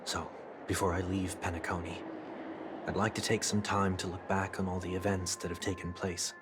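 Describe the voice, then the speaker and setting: A man's voice: deep voice, flat delivery, moderate pace, noisy background, natural voice. An actor playing a courteous, solemn and principled young man, speaking formally and eloquently in a video game. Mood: calm resolve. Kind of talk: scripted video game voice acting. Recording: loud music behind the voice.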